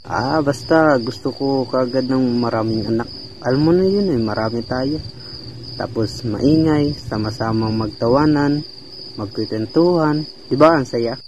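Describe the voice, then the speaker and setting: A man plays a stubborn young husband in an amateur radio drama. His voice sits at an ordinary male pitch. The recording has faint noise with insects chirping behind it. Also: a little slow, darkish tone, dull audio, natural voice. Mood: eager, coaxing. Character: stubborn young husband eager for many children, later frightened.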